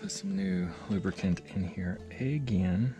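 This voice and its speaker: A man with a deep, low-pitched voice talks his way through a hands-on repair job. He is casual and folksy, rambling as he thinks aloud like a hobbyist video host. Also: measured pace, over music. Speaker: audio repair vlogger.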